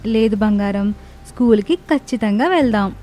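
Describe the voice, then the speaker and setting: A woman playing a brave, determined young mother in an animated moral story, speaking firmly and earnestly. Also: medium pitch, normal speed, a little hiss or hum, natural voice. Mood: reassuring. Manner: firm, earnest, lecturing.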